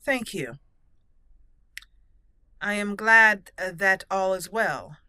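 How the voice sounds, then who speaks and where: A woman's voice: mid-range voice, expressive, slow pace, sharp tone, clear, resonant tone, no room sound. A woman doing casual roleplay game commentary, chatty and thinking aloud as she plays. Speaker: let's play host.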